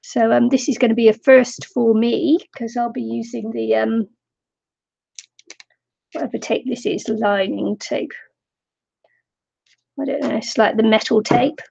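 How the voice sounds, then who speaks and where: A woman's voice: a little high, fairly even intonation, unhurried, gravelly, pauses often, a little mellow, highs cut off. A middle-aged woman chatting casually while crafting on a live stream, slightly nasal from a cold, rambling and giggling often. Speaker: craft vlogger.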